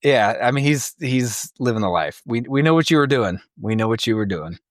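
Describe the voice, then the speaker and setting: A man with an average-pitched voice banters on a comedy podcast as a co-host. He is casual and teasing, recorded close and dry. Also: expressive, brisk, no echo.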